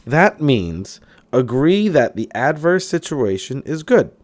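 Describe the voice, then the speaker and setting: A man narrates a self-help book aloud. His intonation moves up and down in an animated way, and he leans on key phrases with clear emphasis. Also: mid-range voice, takes it slowly. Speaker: audiobook reader.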